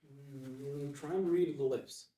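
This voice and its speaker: An actor playing an eager, awkward young office assistant in a table read, hesitant and rambling. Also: male voice, mid-range voice, fairly even intonation, slow pace, dark tone, natural voice. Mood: distracted, goofy. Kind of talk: filmed screenplay table read. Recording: echoey room, distant mic.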